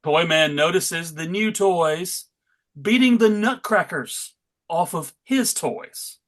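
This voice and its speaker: Man, narrator and host, high voice, unhurried pace, dramatic comic book reading. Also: fairly bright tone.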